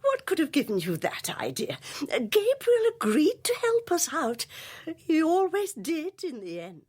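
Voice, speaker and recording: Female,: airy, evasive society lady for radio, very high, hugely varied pitch, unhurried. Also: wildly swooping pitch, a little mellow, full character voice. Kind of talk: British radio drama acting.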